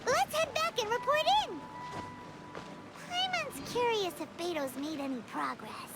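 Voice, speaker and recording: A woman voicing a small, excitable childlike fairy companion in a video game, squeaky, eager and chirpy. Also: measured pace, loud music behind the voice, heavily acted.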